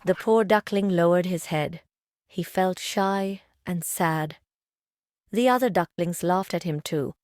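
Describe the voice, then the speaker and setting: A woman's voice: on the low side, unhurried, darkish tone, slight background noise. Woman narrating a children's story.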